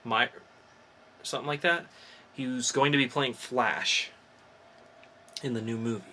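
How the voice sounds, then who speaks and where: A man's voice: medium pitch, fairly even intonation, a little slow, sharp tone, a little hiss or hum. A man hosting a podcast in casual chat, informal and opinionated in the way he talks. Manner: opinionated, informal, mildly profane. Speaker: podcast host. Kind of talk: casual podcast chat about comics and movie news.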